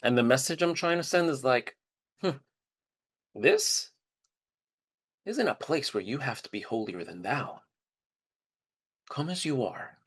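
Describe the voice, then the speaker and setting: A man's voice: medium pitch, big pitch swings, a little slow, pauses often, fairly bright tone, husky, exaggerated voice. A man playing a candid, easygoing educator in a conversational storytelling monologue. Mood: amused, candid. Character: candid, easygoing educator recounting a colleague's advice.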